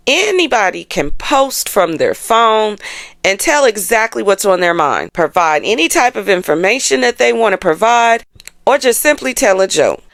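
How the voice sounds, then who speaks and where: A woman's voice: mid-range voice, very animated, normal speed, fairly bright tone, no room sound, clean studio sound. An American woman hosting a channel, chatting casually and directly to her followers with a sassy, rhetorical manner. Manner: sassy, direct address with rhetorical questions and tag phrases like "okay?". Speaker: astrology channel host. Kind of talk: casual, conversational commentary to followers.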